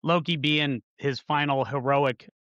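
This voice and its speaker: A man's voice: medium pitch, steady tone, measured pace, a bit bright, dry recording, muffled recording. A man hosting a podcast, rambling through his thoughts with lots of restarts. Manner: rambling, many fillers and restarts. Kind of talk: casual chat about a movie.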